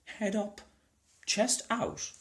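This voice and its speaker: A man's voice: high voice, very animated, very slow, voice pushed up, heavily acted. A man voicing an eager, stubborn small boy in a storybook, proud and cheerful. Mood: eager, cheery. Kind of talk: storybook character voice.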